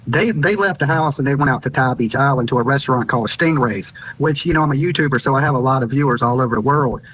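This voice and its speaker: Fast-talking man on a dull remote link. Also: mid-range voice, fairly bright tone, husky. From Virginia, United States. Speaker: YouTuber and news guest. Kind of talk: live interview account.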